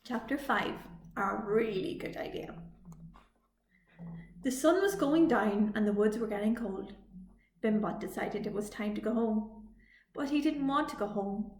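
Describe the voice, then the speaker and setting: A woman reading a children's story aloud as a library storytime reader, with expressive delivery. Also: fairly high pitch, a little hiss or hum. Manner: slow, expressive read-aloud. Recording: echoey room.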